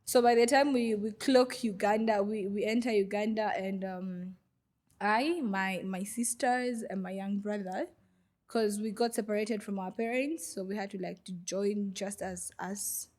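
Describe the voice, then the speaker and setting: A woman's voice: mid-range voice, fairly even intonation, measured pace, darkish tone. A young woman from Goma in the DR Congo, a podcast guest, who tells her story in a hesitant way with many fillers. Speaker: guest, refugee vendor. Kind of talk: podcast interview.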